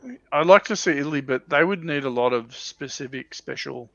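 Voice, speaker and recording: A man co-hosting a livestream, musing tentatively and haltingly in casual hobby talk, with slightly muffled audio. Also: medium pitch, gravelly, fairly bright tone. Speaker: livestream co-host.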